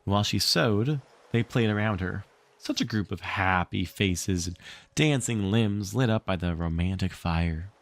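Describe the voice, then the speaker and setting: A man reading a storybook aloud as a narrator. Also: sits low. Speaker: streamer reading a book aloud.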